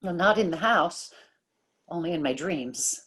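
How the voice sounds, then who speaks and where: A woman's voice: on the low side, animated, unhurried, a bit bright, husky, full character voice. An actress playing a haunted, frightened young woman in old-time radio drama style, speaking hesitantly. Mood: haunted, fearful.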